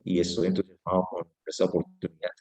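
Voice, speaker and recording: A man's voice: low voice, completely flat delivery, quick pace, a little mellow. A man introducing himself to an online class over a video call, hesitant and full of fillers. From Colombia. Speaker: student.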